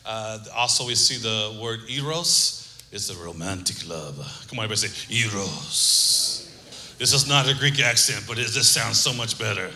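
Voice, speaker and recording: A man's voice: fairly low pitch, moderate pace, sharp tone, big-room sound. A man preaching a sermon, asking the congregation to repeat words and joking between points. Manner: preaching with call-and-response and humor. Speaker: pastor.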